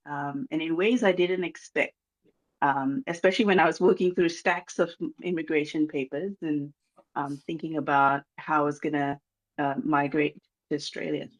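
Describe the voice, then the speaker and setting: A speaker from Malaysia with a Malaysian accent, giving an earnest, measured address over a video call, pausing often with um. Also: mid-range voice, low-quality recording. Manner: earnest, measured, many um pauses. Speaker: guest speaker from a reconciliation organization. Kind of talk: formal address at a launch event.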